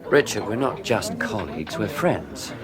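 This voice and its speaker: An actor playing a patient, concerned British scientist and friend in a radio drama, speaking in a tentative, earnest, gently probing way. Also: male voice, lowish voice, very animated, measured pace, crowd noise. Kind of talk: 1980s BBC radio drama acting.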